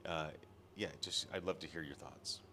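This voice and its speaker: An American man hosting a relaxed interview, rambling and chatty with lots of fillers. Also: very deep voice, normal speed, slight background noise. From United States. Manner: rambling, chatty, laughs often, trails off with fillers. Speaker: host. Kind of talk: casual interview. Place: room at an event in London.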